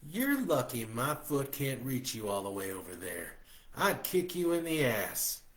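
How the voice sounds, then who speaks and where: A man's voice: medium pitch, big pitch swings, measured pace, a bit bright. A man doing a brassy teasing woman nurse. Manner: bossy, teasing, salty.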